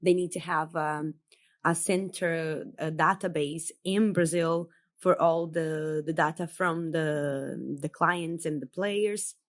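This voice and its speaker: A woman's voice: low voice, fairly even intonation, takes it slowly. A woman who is a native Portuguese speaker, a journalist guest, explaining in a chatty way with many fillers on a remote podcast call. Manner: chatty, filler-heavy, laughs between points. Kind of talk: casual podcast interview. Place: home in Brazil, remote call.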